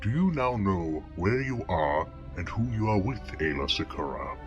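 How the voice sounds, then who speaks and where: A man's voice: sits low, very animated, moderate pace, highs cut off, faint music behind. A man playing a wise, kindly alien Jedi master who speaks with courteous formality in a comic dub. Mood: gentle.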